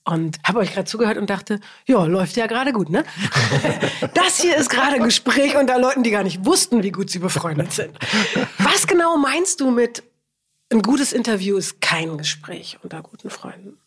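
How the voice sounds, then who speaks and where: A woman in her fifties from Berlin, hosting a podcast in a lively conversation, laughing often. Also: fairly high pitch, moderate pace, slightly rough. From Berlin, Germany. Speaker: podcast host. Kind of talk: casual conversation.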